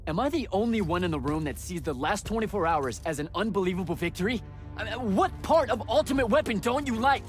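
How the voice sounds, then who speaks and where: An actor playing a brash, defensive young battlemage in a game cutscene, cocky and sarcastic in manner. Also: male voice, sits high, animated, fast, soft background music. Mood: defiant. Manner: cocky, sarcastic, stammering protests. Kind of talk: dramatic video game cutscene acting.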